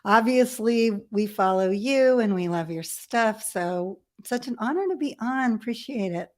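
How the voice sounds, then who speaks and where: A middle-aged woman from Ethiopia, telling personal stories as an interview guest in a candid, animated way with self-deprecating humour. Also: fairly high pitch, normal speed. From grew up in Ethiopia as a missionary kid. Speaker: podcast guest. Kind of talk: conversational personal storytelling.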